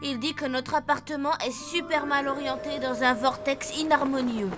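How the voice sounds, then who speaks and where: A woman's voice: high voice, fairly even intonation, moderate pace, lightly acted. A woman voicing a sensible, plain-spoken schoolchild in a cartoon. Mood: worried. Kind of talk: French cartoon voice acting. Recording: background music.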